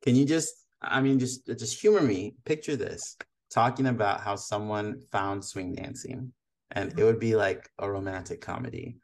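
A man's voice: fairly low pitch, normal speed. A man hosting a casual podcast interview, friendly and teasing, rambling with restarts. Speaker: podcast host.

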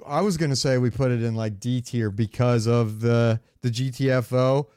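A man's voice: mid-range voice, fairly even intonation, normal speed, a little mellow, clean tone, dry recording, studio quality. A man co-hosting a casual gaming podcast, talking in a rambling way with meandering asides. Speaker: podcast co-host.

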